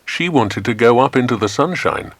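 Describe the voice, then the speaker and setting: A man with an English accent narrating a children's adventure story in a measured, dramatic storytelling manner. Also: medium pitch, big pitch swings, creaky, breathy edge, no echo. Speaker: audiobook narrator.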